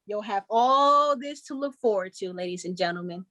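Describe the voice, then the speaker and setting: A woman's voice: mid-range voice, lively intonation, normal speed, sharp tone, no echo. A woman playing a chipper 1940s radio show host, giving scripted announcements in a showy, presentational way. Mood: showy, upbeat.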